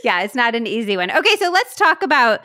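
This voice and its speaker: A woman's voice: on the high side, very animated, fast, pushed and bright, no room sound, studio quality. A woman hosting a podcast, bubbly and effusive as she asks questions and reads an ad. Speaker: podcast host. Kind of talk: enthusiastic interviewing and ad read.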